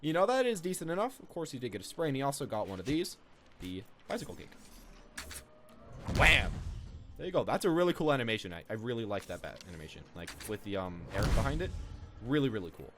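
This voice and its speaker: A man's voice: mid-range voice, big pitch swings, fast talker, a bit bright, soft background music. A man giving casual, unscripted, rambling commentary as the host of a gaming video. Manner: rambling, informal.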